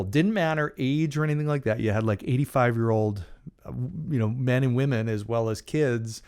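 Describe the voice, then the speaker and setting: A man in his forties hosting a solo leadership podcast in a small treated studio, talking casually with jokes and lively gestures. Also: fairly low pitch. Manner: casual, joking, animated with hand gestures. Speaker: podcast host. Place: small studio with dark acoustic panels. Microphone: large studio condenser mic in front.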